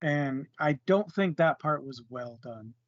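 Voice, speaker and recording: A man giving his opinions on a book in a casual discussion, measured and reflective. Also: fairly high pitch, expressive, no room sound, lo-fi sound.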